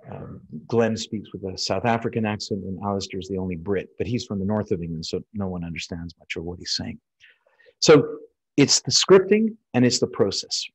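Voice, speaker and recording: An American man, middle-aged, lecturing as a surgeon in an informal, explanatory style with a slightly husky voice. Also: sits low, animated, fairly quick, low-quality recording. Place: indoors, on a video call over slides.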